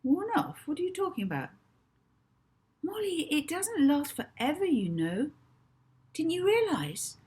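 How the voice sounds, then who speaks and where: A woman's voice: sits high, sing-song, a little slow, long pauses, a little mellow, voice pushed up. A woman voicing a young boy in a children's audiobook, a reassuring best friend who explains things insistently and matter-of-factly. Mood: surprised, reassuring. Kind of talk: children's audiobook character dialogue.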